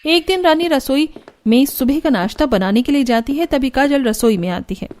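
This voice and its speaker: A woman's voice: high-pitched, animated, moderate pace, a little mellow. A woman giving scripted narration for a cartoon family story.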